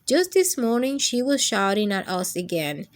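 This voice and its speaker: A woman's voice: medium pitch, very animated, normal speed, natural voice. A woman reading the part of an eager, cheerful young boy in a children's story.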